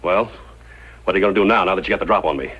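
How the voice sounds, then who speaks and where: An actor playing a wary, gruff frontiersman in a 1950s radio western, with a folksy, excitable manner. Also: low-pitched, racing. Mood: curious. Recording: muffled old radio recording.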